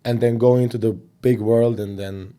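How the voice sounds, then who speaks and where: A young man from Serbia, a boxing coach, chatting casually as an interview guest in animated storytelling fashion. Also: mid-range voice, normal speed. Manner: animated storytelling with slang fillers.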